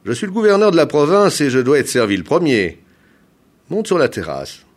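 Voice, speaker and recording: A man voicing a haughty, bullying provincial governor in a folk tale, loud, imperious and given to exclamation. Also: fairly low pitch, huge pitch swings, normal speed, gravelly, slightly rough, heavily acted. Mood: haughty, threatening.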